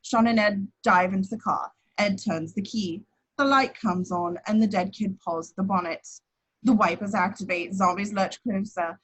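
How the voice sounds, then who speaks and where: A woman's voice: medium pitch, fairly even intonation, moderate pace, a bit bright, slightly rough, poor audio. A woman reading out scripted action descriptions as narrator at an amateur table read.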